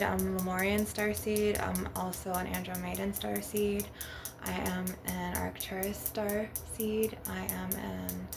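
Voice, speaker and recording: A woman with a creaky, fry-laden voice at a medium pitch speaks casually to her viewers. She rambles along as an ASMR host with loose, filler-heavy phrasing. Loud background noise, with tapping and clicking, runs behind her voice. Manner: rambling, with frequent "like" and "you know". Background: crystal and glass tapping, clicks, background noise.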